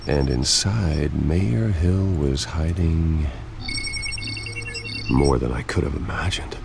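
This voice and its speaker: A brooding billionaire vigilante in a dramatic game. His voice is extremely deep and full of fry, deliberate and controlled. He sounds determined and serious, over faint background noise. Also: darkish tone, full character voice. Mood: grim, intrigued. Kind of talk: dramatic video game acting.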